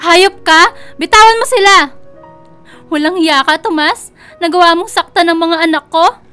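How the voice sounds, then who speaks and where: An emotional, protective mother in a radio drama. Her voice is high and piercingly bright, with dramatic delivery over faint music. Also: normal speed. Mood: furious, shocked.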